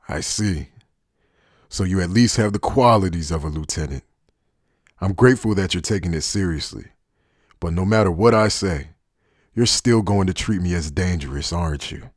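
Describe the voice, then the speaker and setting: A man acting as a world-weary, cynical anime traitor speaks in a deep voice with a husky grain. He is guarded and wry, laid-back yet deliberate, in a very dry, close sound. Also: no echo. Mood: guarded, sardonic. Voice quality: husky, low. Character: cynical, guarded male former Soul Reaper turned traitor. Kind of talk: anime fan dub acting.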